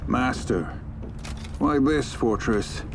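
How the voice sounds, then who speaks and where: A man's voice: medium pitch, slow, gravelly, background noise. A man playing a doubting, reflective soldier, narrating a game cutscene in a grave, introspective way. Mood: brooding, grave. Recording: loud music over the voice.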